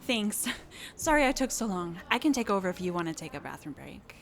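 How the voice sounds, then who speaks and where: An actress playing a friendly, apologetic camp chaperone in an audio drama, casual and placating. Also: female voice, lowish voice, sing-song, a little fast, creaky, a little hiss or hum. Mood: casual, reassuring.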